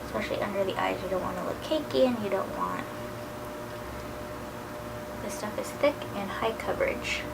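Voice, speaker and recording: Woman, 30s, beauty vlogger with a cold: gravelly, nasal, chatty, noisy home recording. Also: medium pitch, normal speed, pauses often. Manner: casual and chatty, drifting into soft near-whispers. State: has a cold, a little nasal. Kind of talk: chatty makeup tutorial.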